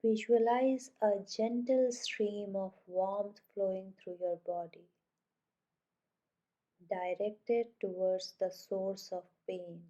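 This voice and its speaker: A woman guiding a meditation in a soothing, gentle way, giving measured, scripted instructions. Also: mid-range voice, fairly even intonation, lo-fi sound. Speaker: yoga nidra meditation guide. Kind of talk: guided meditation, scripted narration.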